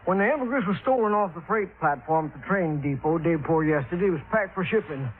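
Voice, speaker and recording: A man's voice: fairly high pitch, lively intonation, fast, a little hiss or hum, close to an everyday voice. A man playing an anxious middle-aged small-town insurance salesman with a Southern US accent and folksy, plain-spoken talk, in 1950s radio drama style. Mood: folksy, explaining. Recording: muffled vintage radio recording.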